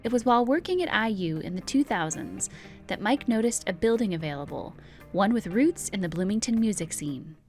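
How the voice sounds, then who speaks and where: A woman host giving clear, even scripted narration for a travel documentary. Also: medium pitch, brisk, soft background music.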